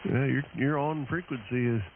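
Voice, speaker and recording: A narrow, phone-like radio signal with clear static comes first. In it a man of medium pitch chats casually about technical matters as a radio hobbyist. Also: moderate pace. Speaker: amateur radio operator. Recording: noisy, narrow-band shortwave radio transmission.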